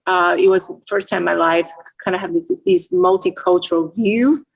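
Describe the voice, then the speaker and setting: Lower-pitched middle-aged woman, Taiwanese accent. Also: steady tone, vocal fry, husky, poor audio. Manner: halting, non-native phrasing. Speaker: interview guest, nonprofit founder. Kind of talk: conversational personal storytelling.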